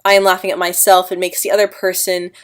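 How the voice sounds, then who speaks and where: A woman's voice: fairly low pitch, completely flat delivery, fast. A young woman talking casually to camera about her own life. She stutters often, repeating sounds and blocking on words, and speaks in an earnest, candid way. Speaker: vlogger.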